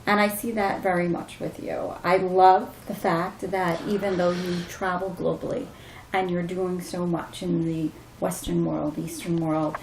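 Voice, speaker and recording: A woman's voice: fairly low pitch, level tone, a little slow, creaky. A woman hosting a talk show speaks conversationally as she interviews a guest. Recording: echoey room with faint background noise.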